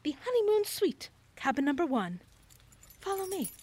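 A woman's voice: extremely high pitch, sing-song, normal speed, darkish tone. An actress playing an eccentric, nosy woman who keeps a creepy motel, with a theatrical, flowery, singsong delivery in an audio drama. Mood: nosy, gushing.

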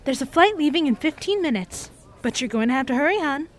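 A helpful airline ticket agent talks in a high voice. Her patter is brisk, folksy and familiar, in radio drama style. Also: animated.

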